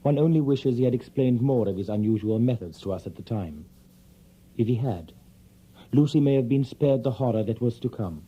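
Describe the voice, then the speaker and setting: An actor playing a measured, formal male asylum doctor in an audio drama. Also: mid-range voice, lively intonation, mellow, slightly rough. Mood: regretful. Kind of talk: audio drama acting, narration. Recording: dull, muffled audio drama recording.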